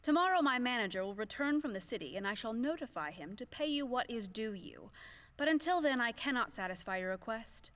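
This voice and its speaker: Casting: woman acting proud widow in period stage comedy, prim and firm, higher-pitched with lively intonation. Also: fairly quick. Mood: firm, formal. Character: proud, indignant, formal widowed lady refusing a creditor.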